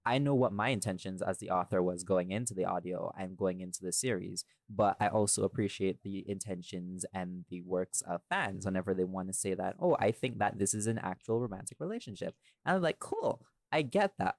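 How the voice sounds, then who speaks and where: Male podcast host, lower-pitched, dry sound, rambling casual opinions with loose profanity. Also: a little fast, no echo. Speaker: podcast host and audio drama writer.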